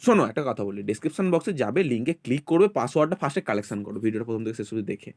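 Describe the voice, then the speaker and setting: A man's voice: mid-range voice, sing-song, rapid-fire, a little mellow. A man co-hosting a casual video for students, instructing them insistently and repeating himself. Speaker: channel co-host.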